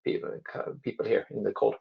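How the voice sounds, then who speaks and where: Swedish man, telecom guest, halting and restart-heavy delivery, dull call audio. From Sweden. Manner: hesitant, many restarts and fillers. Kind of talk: conversational interview answers.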